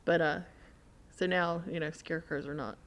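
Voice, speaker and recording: A middle-aged woman telling stories in casual conversation, in an animated, rambling way. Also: low voice, moderate pace. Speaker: podcast guest or co-host. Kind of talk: casual chat, storytelling.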